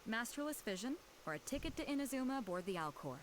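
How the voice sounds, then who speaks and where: A woman's voice: fairly high pitch, sing-song, fast, slight background noise, low-quality recording. A woman playing a breezy, self-assured captain who talks persuasively, in an anime video game. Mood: amused, breezy. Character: confident, breezy woman captain organizing a fighting tournament.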